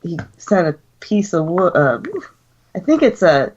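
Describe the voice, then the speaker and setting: An American woman with a cold, chatting casually on a radio show, speaking with fillers and soft laughs. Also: on the low side, sing-song, a little slow. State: sick with a cold, deeper voice. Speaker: guest co-host. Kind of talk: casual chat. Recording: lo-fi online radio call.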